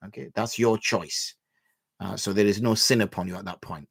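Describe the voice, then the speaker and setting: A man, an Islamic scholar, answering viewer questions on religious rulings in a measured, patient way, explaining with everyday examples. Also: on the low side, vocal fry, husky, dry recording, clean studio sound.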